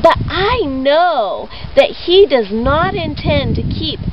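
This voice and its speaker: A woman plays a proud young man in a children's storybook tale, sweet-talking with false worry. The delivery is slow and lilting, pleading persuasively. The audio is dull, with clear noise behind it. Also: high-pitched, very animated, full character voice. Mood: coaxing, falsely concerned. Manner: coaxing, feigned concern.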